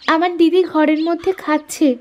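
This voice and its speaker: A woman voicing a meek, obedient, overworked young stepdaughter in an animated story. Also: very high-pitched, moderate pace. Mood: dutiful, resigned. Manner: dutiful, submissive.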